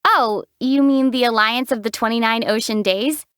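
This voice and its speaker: An actress voicing a calm, wry young female traveler hero in a video game, giving dry teasing explanations and sharp battle shouts. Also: on the high side, moderate pace, clean tone, dry recording, lightly acted. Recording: dry close studio voice.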